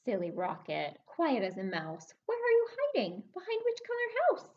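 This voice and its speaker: A woman hosting a children's story time, reading aloud in a sing-song, animated way and prompting young listeners with questions. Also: mid-range voice, moderate pace, highs cut off.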